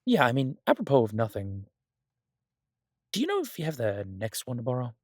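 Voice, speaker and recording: A man's voice: medium pitch, wildly swooping pitch, brisk, dry recording, studio quality. A man playing a doting, restless mafia boss in an audio roleplay, talking conversationally with theatrical exasperation. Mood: mock offended. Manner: conversational, exasperated, swearing, playfully dramatic. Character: male mafia boss, doting, restless, stuck baking in a safe house. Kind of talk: audio roleplay, one side of an intimate phone conversation.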